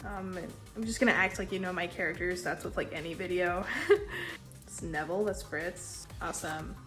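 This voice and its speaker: A young woman with a congested, stuffy voice, casually touring her sketchbook in a tired, low-key way and swearing now and then. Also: fairly even intonation, moderate pace, a bit bright, soft background music. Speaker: artist showing a sketchbook.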